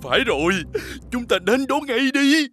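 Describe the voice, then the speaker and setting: An actor playing a greedy, suspicious, domineering rich elder brother in an animated fairy tale, overbearing and scornful. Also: male voice, extremely high pitch, normal speed, heavily acted. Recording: music behind the voice.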